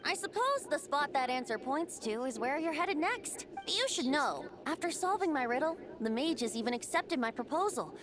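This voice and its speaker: A breezy, teasing wandering bard from an anime-style game. The voice is high and swings playfully with theatrical lilt. Game music plays clearly behind it. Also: female voice, very animated, normal speed, hardly any pauses. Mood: playful, pleased.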